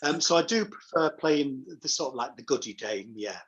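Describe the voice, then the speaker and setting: A man's voice: medium pitch, lively intonation, normal speed, a bit bright, muffled recording. A middle-aged man giving reflective, anecdotal answers as a pantomime performer in a casual interview.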